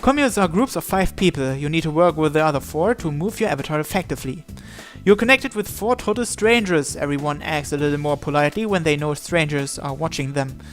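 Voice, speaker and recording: A man with a fairly high voice reads story text from a visual novel aloud. He narrates line by line and sometimes trips on a word and repeats it. Music plays behind him. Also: fairly quick, hardly any pauses. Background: background music.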